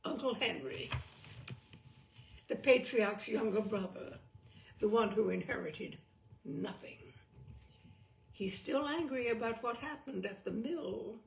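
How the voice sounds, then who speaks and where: A woman reading a darkly comic story in a theatrical, deliberate, dramatic manner. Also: a little high, lively intonation, gravelly, echoey room, slight background noise, poor audio. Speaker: storyteller, reader.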